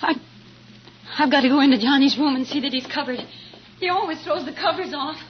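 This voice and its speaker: Female, higher register, actress playing hesitant, evasive wife, 1940s radio melodrama, muffled with faint noise. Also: a little fast, lightly acted. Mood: nervous, evasive. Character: nervous, evasive, secretive wife.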